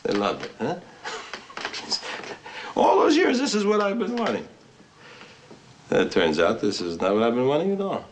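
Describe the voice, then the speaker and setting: A man's voice: mid-range voice, unhurried, long pauses. An actor playing a self-mocking, restless writer who savors his words theatrically in a film drama. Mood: rueful. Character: self-mocking, restless male writer, drunkenly celebrating alone. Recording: steady background noise.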